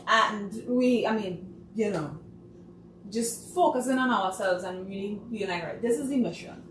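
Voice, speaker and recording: Trinidadian woman, talk-show guest, animated; off-mic, roomy. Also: medium pitch, a little slow, far from the mic. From Trinidad and Tobago. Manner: animated, laughing, mimics people. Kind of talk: casual talk show chat. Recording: echoey room, voice from off-mic, faint noise.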